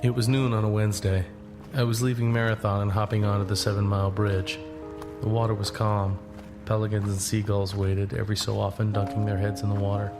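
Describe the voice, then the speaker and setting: A man acting as a laid-back young man narrating an audio drama about himself. He speaks plainly and conversationally, like scripted first-person storytelling. His voice sits a little low, under prominent guitar music. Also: flat delivery, brisk, natural voice. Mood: reflective. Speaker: narrator and lead.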